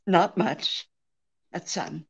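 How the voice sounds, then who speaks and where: A woman acting an alluring, weary, deceitful femme fatale in a 1940s-style radio drama, pleading with dramatic pauses. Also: on the low side, vocal fry, husky, dull audio, heavily acted. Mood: weary, remorseful.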